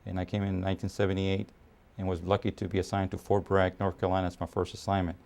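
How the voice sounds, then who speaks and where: A man in his fifties from Puerto Rico, raised in New York, speaking as an army officer in a documentary interview, measured with frequent pauses and fillers. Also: low-pitched, completely flat delivery, vocal fry, darkish tone. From Puerto Rico, raised in New York City. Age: 50s.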